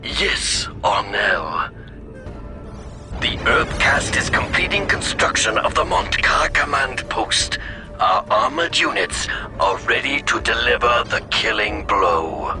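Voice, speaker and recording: An actor playing a disciplined, dutiful alien military commander who reports in a crisp military manner. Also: male voice, medium pitch, sing-song, takes it slowly. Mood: confident, dutiful. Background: music.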